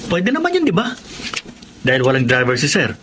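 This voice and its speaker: Noisy radio drama, a caring regular guy, slightly high voice, soft sympathetic manner. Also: huge pitch swings, a little fast. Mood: caring, hopeful. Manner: gentle, sympathetic. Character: kindly, concerned ordinary Filipino man. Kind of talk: Filipino radio drama acting.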